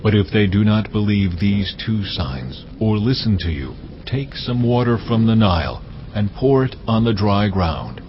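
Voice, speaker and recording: A man voicing God in a dramatized audio Bible, speaking slowly and solemnly. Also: on the low side, lively intonation. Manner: slow, solemn, measured. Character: God, a deep commanding male voice from above. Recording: dull lo-fi audio with faint hiss.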